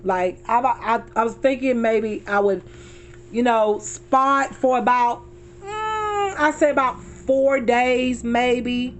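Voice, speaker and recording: A hoarse middle-aged woman chatting casually to her viewers from her car, calling them y'all and repeating herself as she talks. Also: on the high side, expressive, slow pace, a little hiss or hum. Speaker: vlogger.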